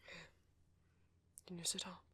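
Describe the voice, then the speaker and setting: A British man playing a gentle, courteous vampire priest in an ASMR roleplay, speaking softly and breathily with polite, hesitant reassurance. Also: measured pace. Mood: gentle, caring. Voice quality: soft, breathy, husky. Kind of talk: ASMR roleplay, soft intimate whispery speech.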